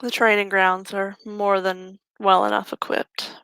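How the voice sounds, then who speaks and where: A woman's voice: mid-range voice, little pitch movement, measured pace, vocal fry, a bit bright, clean tone, no room sound. A woman voicing a confident female werewolf who hosts newcomers to her pack, speaking in a matter-of-fact, dryly knowing way. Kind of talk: in-character roleplay.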